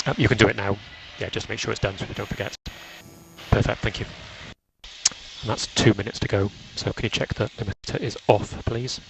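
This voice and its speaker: A man's voice: on the low side, steady tone, fairly quick, vocal fry. A man working as a race engineer, giving clipped, procedural instructions over team radio and repeating key calls. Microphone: radio headset. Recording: noisy motor racing team radio. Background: loud engine and track noise.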